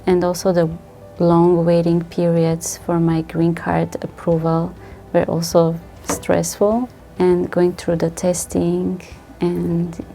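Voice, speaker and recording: A woman from Armenia speaks in a low, mellow, level voice, calm and measured as she tells her own story. Soft music sits under her. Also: vocal fry. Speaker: former teacher, interviewee. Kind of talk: personal story interview.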